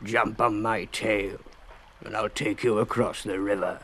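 A man voicing a sly, coaxing old fox in a children's storybook, with a slow, drawn-out growl. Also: on the low side, fairly even intonation, fairly bright tone.

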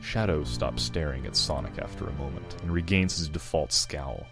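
A man's voice: a bass voice, moderate pace. A man reading scripted narration aloud as narrator of a fan fiction story, with casual asides. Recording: loud background music. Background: music.

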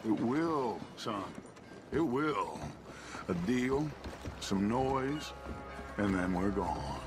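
An actor playing a charismatic outlaw gang leader who talks in a persuasive, paternal way. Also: male voice, lowish voice, sing-song, drawn-out words, music in the background, full character voice. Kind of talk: video game cutscene acting.

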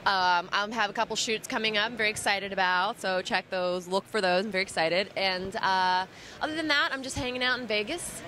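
Young female interviewee, playful and jokey, bright edge, handheld mic in busy hall. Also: mid-range voice, a little hiss or hum. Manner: playful, joking, quick to laugh. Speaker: bikini competitor guest. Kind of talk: casual expo interview. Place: busy expo hall, indoors. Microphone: handheld mic held by interviewer.

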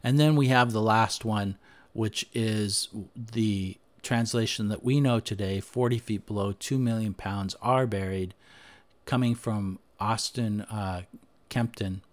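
A man with a fairly low voice walks through documents on his screen, talking them through in an unscripted way. He pauses often, says "uh", and restarts his sentences as he goes. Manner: unscripted, thinking aloud with frequent "uh" and restarts. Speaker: history podcaster. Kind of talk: screen-share presentation, talking over slides.

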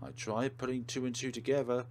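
A man hosts a let's play in a medium-pitched voice. He reads game narration aloud and breaks in with casual comments, with faint noise in the recording. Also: flat delivery, fairly quick.

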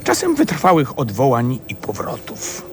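A man's voice: mid-range voice, wildly swooping pitch, a little slow. An actor playing a grandiose great writer in a radio play, theatrical and lecturing in his delivery. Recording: street ambience with vehicle noise.

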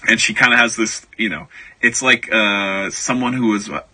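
A man speaking as an author guest in a conversational radio interview, explaining things in a measured way. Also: lowish voice, bright, forceful tone, slightly rough.

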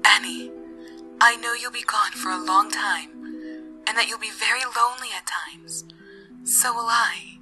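Woman acting a tender mother, very bright voice. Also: fairly high pitch. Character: tender, proud mother bidding her son farewell. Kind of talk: audio drama acting. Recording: music behind the voice.

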